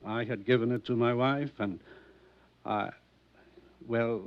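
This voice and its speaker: An actor playing a wealthy, courteous mansion owner in a 1950s radio drama, speaking smoothly and guardedly. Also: male voice, medium pitch, steady tone, slow, lightly acted. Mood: polite. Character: wealthy polished mansion owner, male, middle-aged. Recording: dull old radio transcription.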